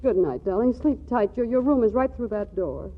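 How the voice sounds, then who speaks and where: An actress playing a flustered, wry wife torn between love and money in an old radio comedy, with an arch, hesitant delivery. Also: female voice, a little high, expressive, normal speed, slight background noise, natural voice. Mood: resisting, tender. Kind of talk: 1940s radio comedy acting. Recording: tinny old radio broadcast, music behind.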